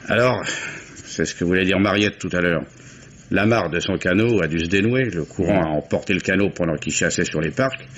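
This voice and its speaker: A man playing a gruff old oyster fisherman. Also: low-pitched, fairly quick, a bit bright. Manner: guarded, folksy, grumbling. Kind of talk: 1950s French radio drama acting. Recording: muffled old radio recording with faint hiss.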